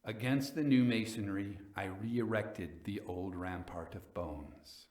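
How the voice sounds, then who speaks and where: A man playing a proud, vengeful aging murderer recounting his crime in a theatrical, deliberate gothic storytelling style. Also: fairly low pitch, a little mellow, roomy sound. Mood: grim, grave. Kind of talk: dramatic reading of gothic tale.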